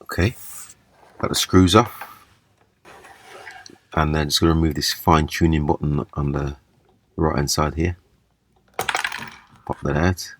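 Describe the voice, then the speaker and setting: A man giving a casual, hands-on repair demonstration, explaining haltingly step by step. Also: very deep voice, steady tone, a little hiss or hum. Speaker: repair video presenter. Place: small indoor room at a table.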